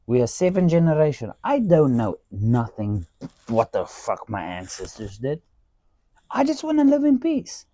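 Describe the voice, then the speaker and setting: Man, Afrikaans accent, from South Africa, podcast host, casual storytelling, swears freely, mellow timbre, dry sound. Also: medium pitch, a little slow, no room sound. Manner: animated, swearing storyteller who says "dude" a lot. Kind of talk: casual chat.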